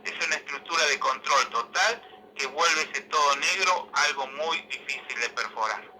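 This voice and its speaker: Bright, forceful man reading a manifesto. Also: fairly high pitch, a little hiss or hum, rough recording. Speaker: political commentator. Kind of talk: reading a political manifesto aloud.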